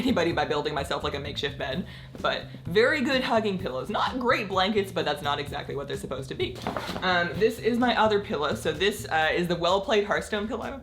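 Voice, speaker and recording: Chatty, slightly croaky woman vlogger. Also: fairly low pitch, a bit bright. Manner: chatty, rambling, many "like" fillers, laughs often. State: slightly croaky, losing her voice. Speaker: gaming content creator. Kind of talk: casual vlog chat.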